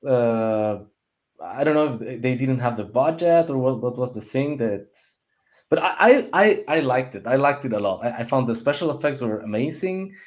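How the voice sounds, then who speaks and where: A man's voice: mid-range voice, lively intonation, moderate pace, a little mellow. A man in a casual panel chat about opinions, rambling and stammering as he often restarts his sentences. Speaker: panelist on a film discussion show. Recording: dull, muffled lo-fi audio.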